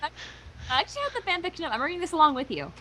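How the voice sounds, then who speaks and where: A woman co-reading on a livestream, chatting in casual, excitable banter. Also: high voice, rapid-fire, crowd noise, low-quality recording. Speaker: livestream guest co-reader. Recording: faint background noise, livestream audio.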